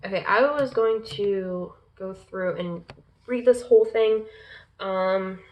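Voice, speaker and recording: A woman vlogger reading a long list aloud, pausing often with ums and uhs and stumbling over unfamiliar names. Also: mid-range voice, lo-fi sound. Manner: slow, careful reading pace. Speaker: pagan lifestyle vlogger. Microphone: laptop in front of her.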